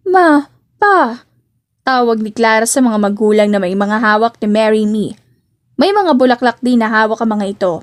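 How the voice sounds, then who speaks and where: A woman's voice: a little high, fairly quick, no echo, studio quality. A woman reading scripted narration for a romance audiobook.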